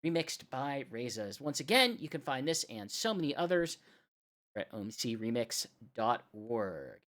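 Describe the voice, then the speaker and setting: A woman's voice: a bass voice, very animated, unhurried, a bit bright. A woman hosting a music livestream, chatting casually as she introduces songs like a radio DJ. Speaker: radio show host.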